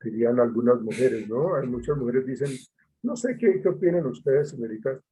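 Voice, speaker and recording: A man's voice: mid-range voice, lively intonation, fairly quick, mellow, slight background noise, rough recording. A man, a teacher leading an online class discussion, hesitant with many fillers and repetitions.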